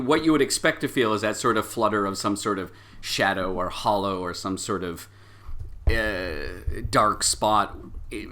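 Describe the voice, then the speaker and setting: A man narrating an improvised tabletop game as game master, halting and backtracking mid-sentence. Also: fairly low pitch, bright, forceful tone.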